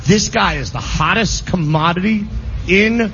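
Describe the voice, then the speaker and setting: Emphatic radio host, higher-pitched man, dull lo-fi live broadcast with music. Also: a little slow, a bit bright. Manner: emphatic, repeats phrases for stress. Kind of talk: live sports radio banter.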